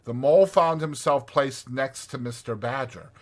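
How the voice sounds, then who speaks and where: A man's voice: medium pitch, normal speed, a little mellow. A man narrating a classic storybook as an audiobook reader.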